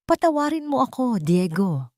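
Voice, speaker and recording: A woman's voice: a little high, sing-song, moderate pace, a little mellow, no echo, voice pushed up. An anguished young maid, played by a woman narrator, pleading and pained in a romantic audio drama. The sound is dry and close. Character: anguished, self-sacrificing young maid in love.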